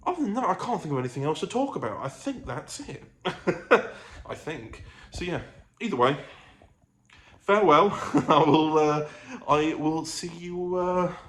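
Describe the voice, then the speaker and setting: A man chatting casually to a vlog, rambling hesitantly, correcting himself and trailing off. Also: high-pitched. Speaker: vlogger. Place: living room of own apartment. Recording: echoey room with faint ambient noise.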